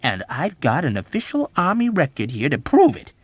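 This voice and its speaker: A man's voice: mid-range voice, animated, slow pace, dark tone, dry recording, lo-fi sound. A man voicing a belligerent, easily offended army major in an audiobook, indignant and accusatory. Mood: belligerent hectoring. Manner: indignant, accusatory, badgering, emphatic. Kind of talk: audiobook character acting.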